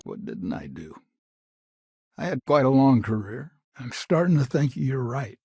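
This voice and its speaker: An actor voicing a proud, stubborn retired patriarch of a frontier founding family in a video game, gruff and folksy, with a gravelly, husky voice. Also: male voice, mid-range voice, sing-song, a little slow, dark tone, no echo, clean studio sound, exaggerated voice. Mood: guarded. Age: older. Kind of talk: video game character dialogue.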